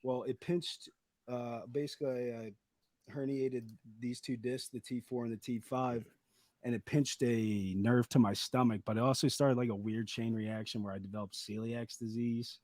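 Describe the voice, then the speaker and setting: A man with a slightly raspy voice, a podcast guest rambling through a personal story in casual chat with lots of fillers. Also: medium pitch, fairly even intonation, a little slow, a little mellow, no room sound. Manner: rambling, laid-back storytelling with many fillers.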